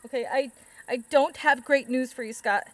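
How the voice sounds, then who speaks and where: A woman's voice: sits high, normal speed, fairly bright tone, no echo. An actress playing a determined, protective woman hiker in an audio drama, urgent, foul-mouthed and reassuring under pressure. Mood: worried, frantic. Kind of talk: full-cast audio drama acting.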